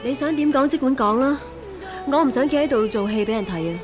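A woman with a Hong Kong Cantonese accent playing a bitter, defiant young street singer in a radio drama, emotional and sharp-tongued. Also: high voice, expressive, brisk, music in the background. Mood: defiant, scornful.

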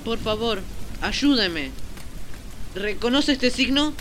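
A man's voice: extremely high pitch, expressive, takes it slowly, fairly bright tone, slight background noise, full character voice. A man playing an earnest young boy hero on a quest in a radio drama, urgent and imploring. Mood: worried.

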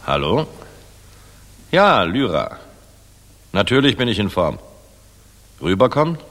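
An actor playing a dashing, world-weary middle-aged air force general in a 1960s German radio play, musing and commanding. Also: male voice, fairly low pitch, sing-song, slow pace, fairly bright tone, heavily acted. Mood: tender, teasing. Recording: reverberant 1960s mono radio recording.

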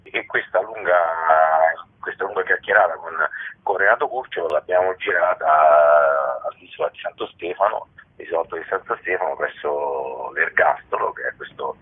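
Low, husky man on a phone line. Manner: hesitant, halting, searching for words. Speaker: documentary director being interviewed. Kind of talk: interview answers, explanatory. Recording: thin, telephone-like radio line.

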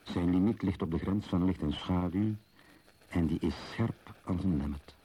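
An actor playing a grave man pondering mystery, solemn and deliberate, in a poetic radio play. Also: deep voice, warm tone, slight background noise, natural voice.

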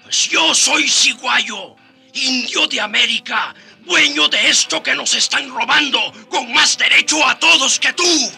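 Gravelly, defiant old captive man. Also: very high-pitched, pushed and bright, faint music behind, full character voice. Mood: defiant, angry. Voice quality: gravelly, raspy. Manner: slow, emphatic, defiant. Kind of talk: radio drama acting.

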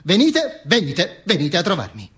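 An actor playing a dead, vain fascist dictator who narrates his own afterlife in a halting, mock-solemn stage monologue. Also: high-pitched, wildly swooping pitch, fairly bright tone, slightly rough, heavily acted. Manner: halting, stammering repetitions, mock-solemn declaiming. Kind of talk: theatrical monologue, narrating to an audience.

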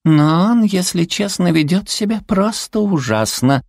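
Man putting on a squeaky, sugary little female critter villain. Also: animated, unhurried, vocal fry, dry recording, studio quality, exaggerated voice. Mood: sweetly menacing. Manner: gushing, sugary politeness. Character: cute small female furry villain, sweetly polite and pouty.

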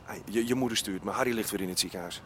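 An actor playing an urgent, anxious errand runner in a radio drama. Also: male voice, lowish voice, rapid-fire, fairly bright tone, slight background noise, lightly acted. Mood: urgent, worried. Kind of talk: Dutch radio drama acting.

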